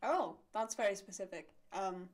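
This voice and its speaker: A woman's voice: mid-range voice, expressive, normal speed, a loud crowd. A woman co-hosting a podcast, chatting casually and teasing her co-host. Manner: laughing, teasing, playful. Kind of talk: casual chat.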